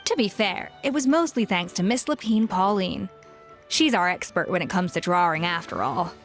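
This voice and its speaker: A woman playing a polite, thoughtful young pastry chef in a video game cutscene, with composed, measured phrasing. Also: on the low side, animated, soft background music. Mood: modest.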